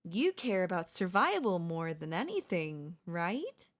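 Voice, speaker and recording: A chatty female zombie, played by a woman, wheedles and reasons as if making casual conversation. Her pitch moves in animated swings while the words come slowly. It is sly, comedic audio roleplay with a conversational, coaxing tone. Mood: coaxing, wheedling.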